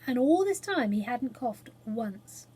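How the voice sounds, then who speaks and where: Female narrator for children's fiction: expressive read, higher register, faintly husky. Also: slow pace. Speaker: storyteller.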